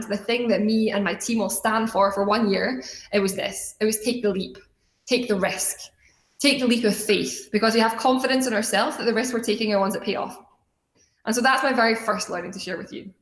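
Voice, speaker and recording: A young adult woman from Scotland gives a motivational personal-story talk in an earnest, conversational way. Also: medium pitch. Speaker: student organization leader giving a keynote talk. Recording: echoey room sound.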